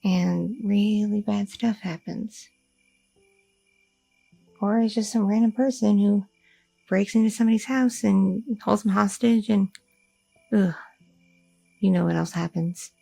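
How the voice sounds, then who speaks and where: A young goth girlfriend who overthinks everything and rambles through her worries, as a woman acts her out in an ASMR roleplay. Her voice is low-lit and mellow with a gravelly, husky edge. It is recorded close and dry over faint music. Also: steady tone, measured pace, long pauses, no echo, exaggerated voice, rough recording. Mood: anxious.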